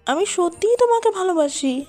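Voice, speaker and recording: A woman's voice: very high voice, animated, normal speed, a little mellow. A woman playing a lovesick, stubbornly clinging young woman who pleads plaintively in a dramatised romantic audio story. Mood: pleading, lovesick. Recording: voice over a music bed.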